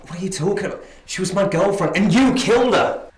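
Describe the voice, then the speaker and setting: A man acting a frightened young captive in a drama, stammering and pleading, bursting into desperate shouts. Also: medium pitch, expressive, brisk, natural voice. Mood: accusing, upset. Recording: echoey room with background noise.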